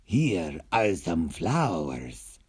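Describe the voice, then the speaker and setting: Man as a slow, kindly storybook villain. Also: sits low, very animated, breathy edge, dry recording, clean studio sound, voice pushed down, heavily acted. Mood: mild. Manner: slow, drawn-out delivery. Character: kindly, mild-mannered male storybook villain.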